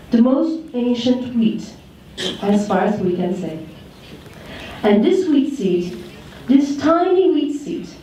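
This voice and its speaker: A woman from Turkey with a Turkish accent giving a slow, incantatory speech at a conference. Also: high-pitched, warm tone, a little hiss or hum. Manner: slow, repetitive incantatory delivery. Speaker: conference speaker. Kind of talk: poetic speech at a congress. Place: large conference hall. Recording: echoey hall, speaker far from mic.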